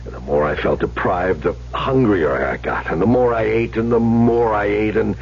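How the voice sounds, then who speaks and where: Sad halting patient guy, real deep n creaky, old radio drama, bit of hum. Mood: troubled, self-pitying. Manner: halting, stammering, confessional. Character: troubled, self-pitying male patient confiding on couch. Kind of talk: 1970s radio drama acting. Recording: dull old radio recording, faint hum.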